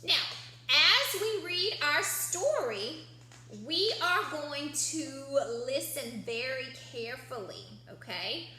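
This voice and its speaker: A woman's voice: high-pitched, animated, slow pace, bright, forceful tone. A woman teacher reading a picture book aloud to young children, explaining simply and asking prompting questions. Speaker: early-years teacher. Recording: echoey room with faint background hum.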